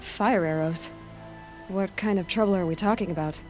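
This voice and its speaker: A woman's voice: fairly low pitch, moderate pace, darkish tone, faint music behind, lightly acted. An actress playing a headstrong, curious young female outcast hunter in a video game, talking in a direct, questioning and slightly wry way. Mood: earnest. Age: young adult. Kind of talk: video game cutscene acting.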